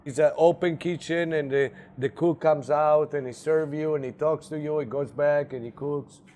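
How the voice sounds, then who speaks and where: A middle-aged man from Italy with an Italian accent, a restaurant co-owner chatting casually and haltingly, with many fillers and a few laughs. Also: on the high side, fairly even intonation, darkish tone, a little hiss or hum. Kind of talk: casual interview chat.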